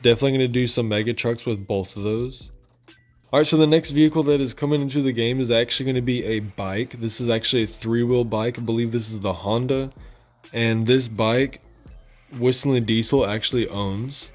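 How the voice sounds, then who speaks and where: A man's voice: mid-range voice, brisk. A man hosting a gaming channel, giving casual, informal news commentary in a loosely rambling, colloquial way. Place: voice-over on gameplay footage. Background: music.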